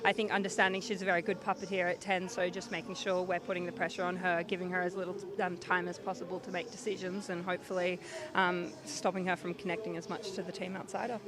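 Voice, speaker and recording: A woman with an Australian accent, a rugby player giving a loud, upbeat and jokey pitchside interview. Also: level tone, fast talker. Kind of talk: post-match-style sports interview. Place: outdoors at a rugby stadium. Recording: crowd noise behind.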